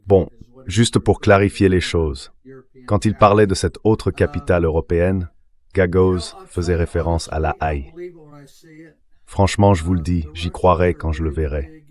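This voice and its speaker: Male AI dub voice, deep and low, for a political commentator analysing as a guest on a talk show. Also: steady tone, unhurried, darkish tone. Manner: synthetic AI voice-over reading. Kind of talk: political analysis, dubbed translation.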